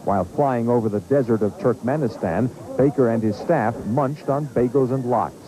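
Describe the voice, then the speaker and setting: A man's voice: mid-range voice, normal speed, a crowd in the background, rough recording. A man with an American accent narrating a scripted news report as a correspondent.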